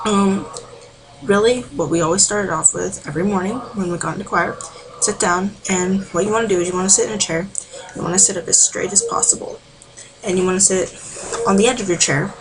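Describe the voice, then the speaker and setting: A woman giving a casual, rambling vocal warm-up tutorial from home, full of filler words. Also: fairly low pitch, gravelly, noisy background. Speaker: amateur singing tutor.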